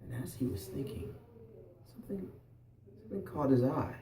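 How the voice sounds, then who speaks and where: The man telling a made-up folk tale to listeners at a storytelling night, swooping and pausing, heard from back in the room. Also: mid-range voice, lively intonation. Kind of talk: improvised folk-tale narration. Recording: roomy, echoey, distant mic, faint noise.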